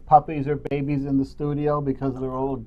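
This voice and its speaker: A man's voice: medium pitch, monotone, brisk. A man co-hosting a local cable show with casual, wry, joking grumbles, in slightly dull lo-fi sound.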